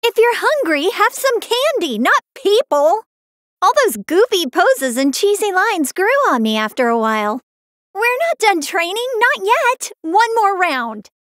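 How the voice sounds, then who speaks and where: A woman's voice: very high-pitched, very animated, unhurried, a bit bright, no room sound, clean studio sound, exaggerated voice. An American actress voicing a feisty, confident teenage girl martial artist with brash, emphatic delivery for an anime fighting game. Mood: scolding, upbeat.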